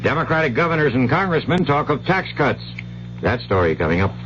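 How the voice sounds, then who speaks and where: A man's voice: mid-range voice, big pitch swings, fairly quick, highs cut off, noisy background. An American man reading a scripted radio newscast as anchor on an old 1970s broadcast. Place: radio studio.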